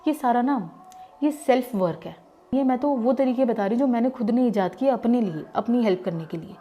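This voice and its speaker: A woman's voice: fairly high pitch, fairly quick, mellow, a little hiss or hum. A middle-aged woman from Delhi whose first language is Gujarati, a radio jockey explaining voice training to listeners in a conversational way. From Delhi, India. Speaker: radio jockey teaching voice work. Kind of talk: voice-training lesson.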